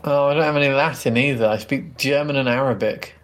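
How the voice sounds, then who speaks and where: A man's voice: mid-range voice, brisk, a little hiss or hum. A man playing a tabletop role-playing game, chatting casually and informally as he thinks aloud.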